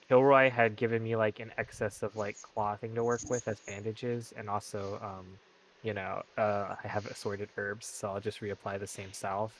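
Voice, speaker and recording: A man chatting casually as a player in a tabletop roleplay, a little halting as he describes his actions. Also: fairly low pitch, fairly bright tone, rough recording. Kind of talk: casual game chat.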